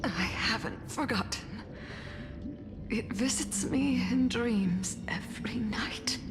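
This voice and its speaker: High, whispery, dreamy sea siren. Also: female voice, takes it slowly. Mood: wistful. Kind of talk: solemn dramatic game dialogue. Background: loud game music.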